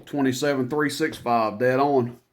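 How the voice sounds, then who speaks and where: A man's voice: medium pitch, steady tone, moderate pace, a little hiss or hum. A man giving a casual product demonstration as a seller, talking informally and rambling through each step as he goes.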